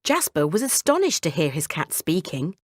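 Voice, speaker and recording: A woman's voice: lowish voice, big pitch swings, moderate pace, dry recording, clean studio sound. A woman narrating a scripted bedtime story for children as the storyteller.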